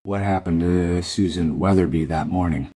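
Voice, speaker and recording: An actor playing a stern, probing police detective in a crime radio play, questioning in a measured, insistent way. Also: male voice, low-pitched, mellow, breathy edge.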